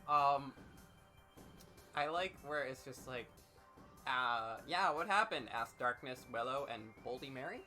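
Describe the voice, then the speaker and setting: A man's voice: medium pitch, lively intonation, unhurried, long pauses, pushed and bright, faint music behind. A man in casual, jokey banter, reading aloud and playfully riffing on odd misspelled words. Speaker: co-reader and commentator on a comedy reading stream.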